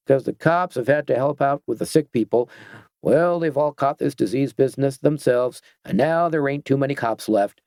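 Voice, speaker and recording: A menacing biker gang leader, put on by a male narrator, who speaks in a falsely soothing, mock-polite drawl with rough, ungrammatical phrasing. The voice sits at a middling pitch and sounds dry and close, with no room around it. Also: moderate pace, no echo, close to an everyday voice. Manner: falsely gentle, folksy ungrammatical speech.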